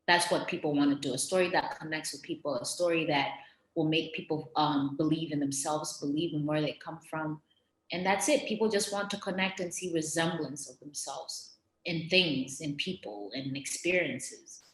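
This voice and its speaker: Young woman with an american accent, lowish voice, echoey room, earnest podcast guest. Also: moderate pace. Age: young adult. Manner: animated, earnest storytelling with frequent fillers. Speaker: guest, creative director. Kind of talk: podcast conversation. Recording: roomy echo.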